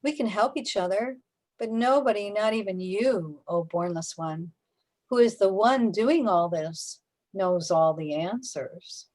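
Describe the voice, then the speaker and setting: A woman playing a calm, knowing afterlife guide, measured and explanatory, in a sci-fi radio drama. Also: mid-range voice, natural voice. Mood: calm, reassuring.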